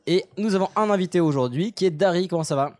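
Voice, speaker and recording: Hoarse male podcast host, quick delivery, trails off at the end of thoughts. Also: high voice, background noise. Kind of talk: casual podcast chat.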